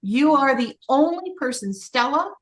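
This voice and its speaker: A woman's voice: sits high, expressive, measured pace. A woman coach leading a group call, speaking in an encouraging, emphatic way.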